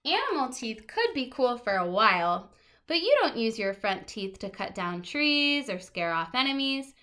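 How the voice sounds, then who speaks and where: Lady teacher doing a kids book read aloud, highish voice, expressive, leans on key words, chatty asides. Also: moderate pace. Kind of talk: read-aloud of a children's nonfiction picture book with casual asides.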